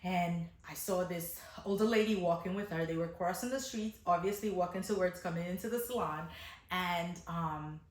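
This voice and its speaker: A woman telling a casual, animated story to viewers, retelling events dramatically as a hairstylist. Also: lowish voice, moderate pace, roomy sound. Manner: dramatic retelling, acting out dialogue.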